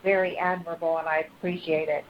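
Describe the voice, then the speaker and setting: Low, even-voiced woman on a noisy phone. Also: quick pace. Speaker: caller. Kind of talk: earnest, polite conversation. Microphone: telephone. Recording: dull, noisy phone conference line.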